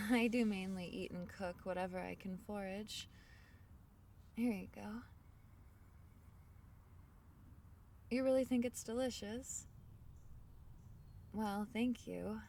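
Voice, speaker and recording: A woman playing a small, shy, kind forest fairy in an intimate ASMR fantasy roleplay, speaking softly and hesitantly. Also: medium pitch, far from the mic, a little hiss or hum, close to an everyday voice. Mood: friendly, bashful. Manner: soft-spoken, gentle, hesitant, breaking into whispers.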